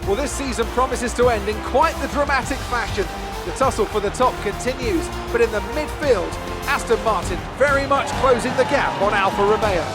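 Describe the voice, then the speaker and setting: An actor playing a polished, dramatic motorsport TV commentator in a video game. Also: male voice, extremely high pitch, fairly quick, loud music behind the voice. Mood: excited. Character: excitable male motorsport TV commentator. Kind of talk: sports commentary.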